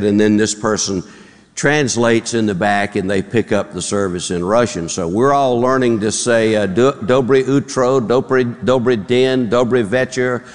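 A man with a Southern accent preaching to his congregation, telling folksy jokes in a drawl and acting out the voices in them. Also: medium pitch. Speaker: pastor. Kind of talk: sermon with folksy joke-telling. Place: church auditorium. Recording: long reverb in a large hall.

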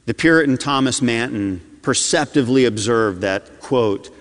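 A man preaching a sermon as a pastor, his delivery swinging between loud urgency and hushed intensity. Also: medium pitch, moderate pace. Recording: long hall reverb.

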